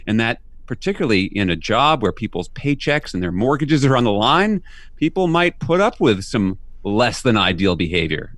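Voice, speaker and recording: A man speaking as an interview guest and leadership coach, explaining points clearly and often ending with "right?". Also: mid-range voice, no echo. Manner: articulate, explanatory, uses "right?" tags. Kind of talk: interview answers.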